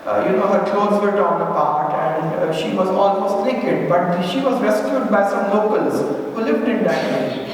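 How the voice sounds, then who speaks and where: A man with a Pakistani accent giving an impassioned public lecture, halting and emphatic, repeating phrases as he goes. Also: high voice. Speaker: speaker giving a public history talk. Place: echoey indoor hall. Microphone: distant microphone. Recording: echoey hall, distant mic, noisy.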